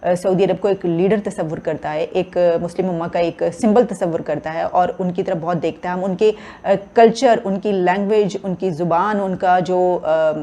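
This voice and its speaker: A woman's voice: lowish voice, steady tone, moderate pace, few pauses, mellow. A woman with a Pakistani accent comments on current affairs without a script, explaining her points step by step. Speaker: talk show commentator. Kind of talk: unscripted news discussion.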